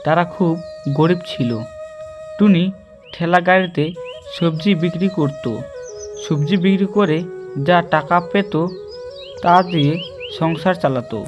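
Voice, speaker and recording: A man's voice: a little high, unhurried, long pauses, dull audio, over music. A man reading scripted narration for a children's cartoon story.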